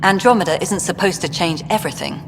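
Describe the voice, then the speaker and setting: An actress playing a frank, dry, measured administrator in video game dialogue. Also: female voice, fairly low pitch, natural voice. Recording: long reverb with engine-like ambient noise.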